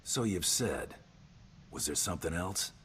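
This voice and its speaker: A tough team leader from a video game, speaking in a rumbling, very deep bass with lively inflection. His delivery is clipped, dry and sardonic, quietly threatening. There is faint noise in the background. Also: measured pace. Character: hard-bitten male team leader, dryly threatening tough guy. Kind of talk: video game character acting.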